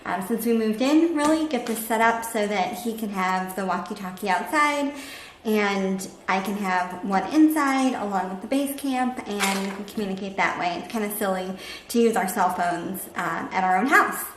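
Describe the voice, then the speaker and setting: A woman's voice: mid-range voice, moderate pace. A woman chatting casually as host of a home cooking vlog while she demonstrates things. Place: indoors at home, kitchen. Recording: echoey room with background noise.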